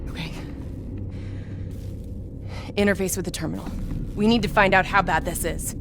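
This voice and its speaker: A woman's voice: medium pitch, fairly even intonation, normal speed, gravelly, noisy background, lightly acted. A woman playing a determined intelligence agent in a sci-fi war video game, speaking in a clipped, authoritative way. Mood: grave, businesslike. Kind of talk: cinematic video game voice acting. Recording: music bed under the voice.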